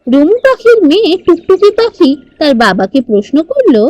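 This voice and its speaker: A woman's voice: very high-pitched, very animated, moderate pace, a little mellow, no echo. A woman narrating a children's fairy tale cartoon in a scripted storytelling style.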